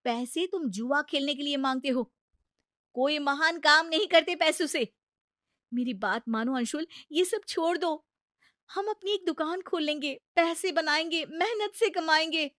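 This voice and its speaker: A woman's voice: high-pitched, unhurried, a bit bright, no room sound, clean studio sound, voice pushed up. A woman voicing an exasperated, hardworking wife in a cartoon story, speaking in an emphatic, scolding way. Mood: pleading.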